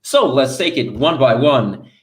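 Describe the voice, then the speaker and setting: A male moderator runs an online panel over a video call. His voice is mid-pitched, and he keeps things upbeat and chatty with playful asides. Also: animated, measured pace, poor audio.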